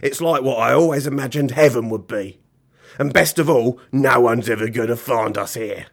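Guy doing nasty old storybook baddie, loud, bossy, lazy, barks everything. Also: medium pitch, moderate pace, creaky. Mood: gleeful. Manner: barking, colloquial menacing speech. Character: fierce, bossy, lazy old villain man.

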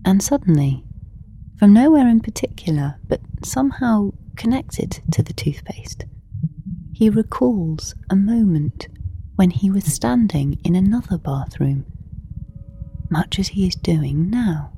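A woman narrating a scripted story in an intimate, hushed and measured manner, pausing between short phrases. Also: medium pitch, animated, slight background noise, low-quality recording. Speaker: narrator of an audio drama.

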